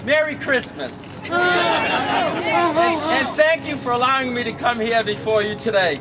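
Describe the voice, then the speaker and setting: A man's voice: extremely high pitch, unhurried, a loud crowd. A man giving a blunt, fact-packed speech at an outdoor rally without a microphone, stumbling over fillers and restarts. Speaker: rally speaker. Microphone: no working microphone, recorded from a distance. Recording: outdoor rally with loud traffic noise.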